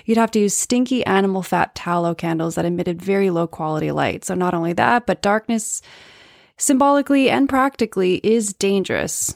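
Female podcast co-host, medium pitch, informal conversational explainer, dry sound. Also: fairly quick, gravelly, no room sound. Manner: casual, informal.

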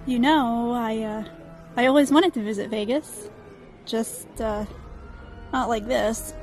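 Wistful higher-voiced woman an actress. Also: steady tone, takes it slowly, a little mellow, soft background music. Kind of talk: audio drama acting.

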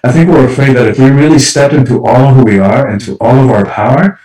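A man's voice: medium pitch, fast talker, mellow, far from the mic. A man from Cuba talks thoughtfully about his work as an author and speaker. He often circles back and repeats words as he finds his point. His voice sits back from the mic in a roomy, echoing space. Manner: reflective, repeats words while gathering thoughts. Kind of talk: conversational interview.

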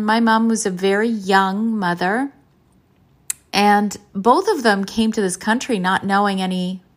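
A woman in her fifties speaks to camera as a nutrition and lifestyle vlogger. Her delivery is slow and unhurried, and her pitch sits at an ordinary middle height. She sounds open and reflective, taking her time over each thought. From Canada. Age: 50s. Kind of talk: candid casual talk to camera.

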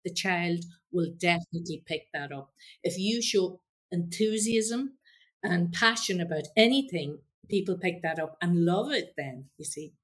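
A woman's voice: lowish voice, a little slow, husky. A woman, a former teacher, talking earnestly and passionately as a podcast guest. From Ireland. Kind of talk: casual conversation.

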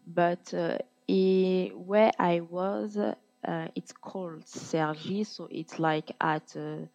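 A woman's voice: fairly low pitch, fairly even intonation, a little mellow. Hesitant young woman, lo-fi. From Côte d'Ivoire. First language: French. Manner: hesitant, many pauses and fillers. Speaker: guest. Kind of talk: casual interview chat. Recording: dull lo-fi audio.

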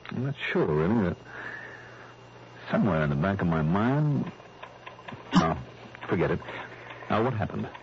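An actor playing a shrewd, skeptical insurance investigator in early 1960s radio drama, clipped, probing and self-assured. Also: male voice, sits low, very animated, unhurried, pauses often, heavily acted. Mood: wary, probing. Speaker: actor in the lead role of a radio detective serial. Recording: dull, noisy old radio recording.

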